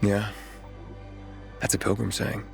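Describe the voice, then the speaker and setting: Young drifter hero, low creaky voice, guarded and casual, game cutscene with music. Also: male voice, monotone, takes it slowly, exaggerated voice. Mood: awkward, quiet. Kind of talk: video game cutscene acting.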